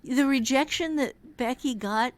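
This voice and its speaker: A woman's voice: high voice, unhurried. An older woman co-hosting a casual chat, speaking in a blunt, encouraging way. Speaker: author, teacher and podcast co-host.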